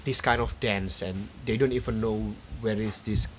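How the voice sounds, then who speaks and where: A man hosting a podcast interview, soft-spoken and halting, with many murmured acknowledgements. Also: lowish voice, steady tone, slight background noise. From Indonesia. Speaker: podcast host. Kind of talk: casual interview chat.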